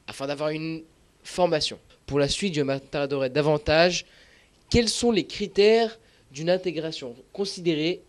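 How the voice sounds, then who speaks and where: A man delivering a formal scripted explanation as a presenter in a student news-style report. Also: a little high.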